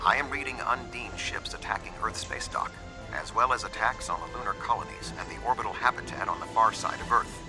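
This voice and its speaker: A man voicing a calm, logical alien admiral in a video game, measured and formal. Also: on the low side, music in the background, rough recording. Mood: composed, grave. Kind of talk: video game character acting.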